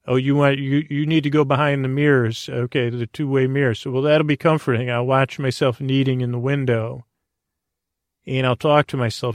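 A man with a creaky voice hosting a bedtime podcast, delivering a halting, meandering, goofy comic monologue. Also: medium pitch, no echo, clean studio sound. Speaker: podcast host.

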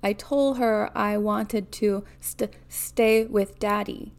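A woman voicing a tearful little granddaughter in a novel, halting and pleading in a childlike way. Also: a little high, steady tone, creaky, a little mellow. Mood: sobbing, pleading.

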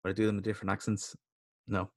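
A man's voice: on the low side, completely flat delivery, quick pace, dry recording, clean studio sound. A man hosting a casual quiz in chat, playful and teasing. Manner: playful and teasing, laughing often. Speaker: quiz host.

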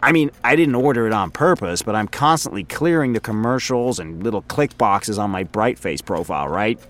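A man's voice: fairly low pitch, expressive, moderate pace, fairly bright tone. A man voicing a chatty, oblivious male office coworker who rambles on with chuckles. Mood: rambling. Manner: rambling, chuckling, talks over others.

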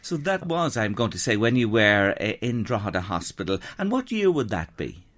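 A male radio presenter interviews a guest in the studio. His pitch moves in a lively way as he asks gentle, prompting questions. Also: normal speed.

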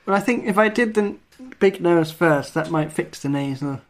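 A man's voice: on the high side, moderate pace. Young man, nasal, casual podcast co-host, wry and self-mocking. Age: young adult. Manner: self-deprecating, chuckling often. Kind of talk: casual chat.

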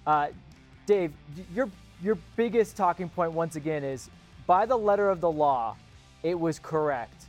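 A man's voice: on the high side, expressive, moderate pace, soft background music. A man hosting a sports show, moderating a panel conversation.

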